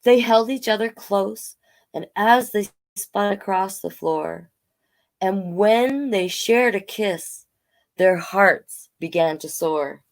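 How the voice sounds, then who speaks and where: Female storytime host, mid-range voice, unhurried read-aloud with encouraging asides. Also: mid-range voice. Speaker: children's storytime reader and host. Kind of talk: reading a picture book aloud with chatty asides.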